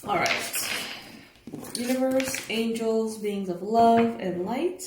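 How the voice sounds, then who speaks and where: A young woman hosting her own tarot channel, her voice raspy and hoarse from just waking up, chatting casually with fillers and soft laughs. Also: fairly high pitch, takes it slowly. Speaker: tarot reader, channel host. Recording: echoey room, faint noise.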